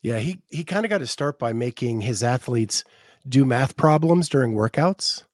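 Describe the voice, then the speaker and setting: A podcast host asking interview questions in a jokey, relaxed way. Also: mid-range voice, moderate pace, no room sound, clean studio sound.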